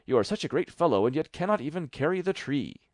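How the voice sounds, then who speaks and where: A man's voice: on the high side, very animated, quick pace, a little mellow, no room sound, pitched above the performer's own voice. A man voicing a big blustering giant in a fairy tale, challenging and scornful.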